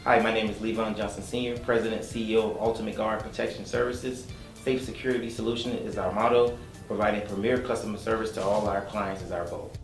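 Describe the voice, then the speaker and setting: A man who owns a security company, speaking in a measured, businesslike way about his firm. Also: on the low side, flat delivery, echoey room, soft background music. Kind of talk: reading a promo, then guest chat.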